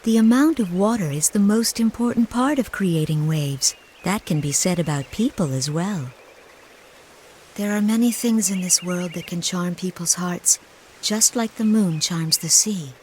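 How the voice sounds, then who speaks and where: Gentle, wise mother over faint noise. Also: lowish voice, expressive, measured pace. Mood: grave, gentle. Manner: tender, patient, explanatory. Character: gentle, wise mother talking to her young child. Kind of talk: scripted game cutscene dialogue.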